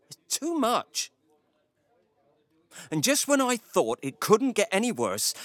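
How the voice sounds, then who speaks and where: A man's voice: sits high, a bit bright, no room sound, heavily acted. An actor playing a grumpy, reserved taxi driver who tells his story in a wry, confiding way in an audio drama monologue. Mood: animated, exasperated. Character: grumpy, reserved Cornish male taxi driver, reluctant local hero.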